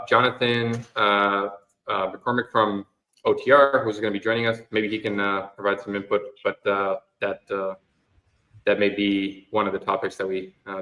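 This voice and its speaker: A man hosts a casual podcast and speaks hesitantly, with frequent filler sounds between phrases. His voice is somewhat low. The recording picks up an echoey room around him. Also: bright, forceful tone. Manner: hesitant, many ums and uhs. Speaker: podcast host.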